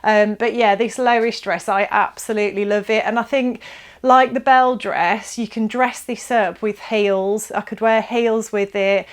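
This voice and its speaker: A woman presenting a casual review video for viewers. Her voice sits at an ordinary middle pitch, and she talks in a chatty, enthusiastic way, as if sharing her favourites informally. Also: steady tone, a little slow. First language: English. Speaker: presenter of a sewing shop's pattern review video.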